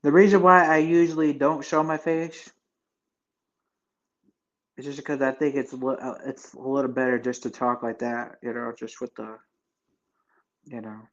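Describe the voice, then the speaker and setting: Flat-voiced young man, rambling stream chat. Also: medium pitch, moderate pace, pauses often, lo-fi sound. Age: 20s. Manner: rambling, filler-heavy, loose restarts. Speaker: livestream host chatting with viewers. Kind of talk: casual live chat.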